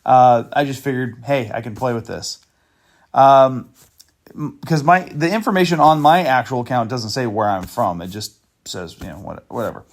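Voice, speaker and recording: A man's voice: mid-range voice, lively intonation, moderate pace. A man hosting a video and talking casually through screenshots of a chat, with dry, sarcastic asides and a loose, meandering delivery. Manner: loose, meandering with fillers and restarts. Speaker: channel host exposing a scammer.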